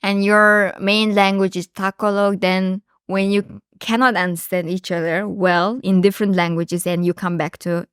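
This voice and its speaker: A young woman hosting a podcast, asking questions in a halting way with many fillers. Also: medium pitch, flat delivery, clear, resonant tone, no room sound, studio quality. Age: young adult. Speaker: podcast host, junior researcher. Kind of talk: interviewing.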